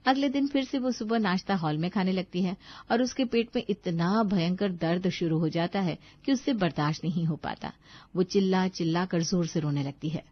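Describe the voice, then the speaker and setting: A woman's voice: on the low side, normal speed, no room sound, highs cut off. A woman narrator reading a scripted family story.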